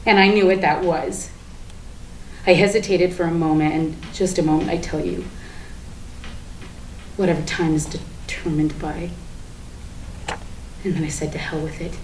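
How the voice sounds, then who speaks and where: A woman's voice: sits low, fairly even intonation, measured pace, pauses often. A woman acting a bitter, manic, sardonic character in a theatrical dramatic monologue at a staged reading. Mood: hushed, conspiratorial. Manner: theatrical, emphatic, repetitive, sardonic, swinging from hushed to ranting. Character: bitter, manic woman confessing her war on a Barbie doll. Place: indoor room, live staged reading. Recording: echoey room with background hiss.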